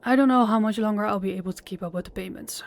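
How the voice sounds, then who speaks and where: A woman's voice: mid-range voice, very fast, gravelly, close to an everyday voice. A woman voicing a polite, desperate young woman pleading with a crime boss in an audio roleplay, speaking formally and deferentially. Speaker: voice actor and channel host.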